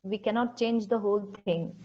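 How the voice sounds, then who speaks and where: A woman's voice: mid-range voice, normal speed, warm tone, dull audio. A woman hosting a meditation chat, mostly offering short affirming interjections. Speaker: host. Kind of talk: casual spiritual chat.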